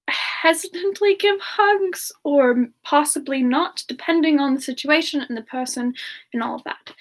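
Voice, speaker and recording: A woman playing a playful, affectionate and coy female demon siren, speaking softly and hesitantly in a semi-ASMR question-and-answer style. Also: high-pitched. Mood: warm, amused. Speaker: host answering audience questions in character.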